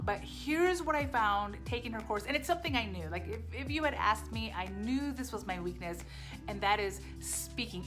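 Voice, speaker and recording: A woman vlogger whose first language was Japanese, talking through her language-class experience in a candid, self-deprecating way, often repeating short phrases. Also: a little high. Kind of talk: casual vlog reflection.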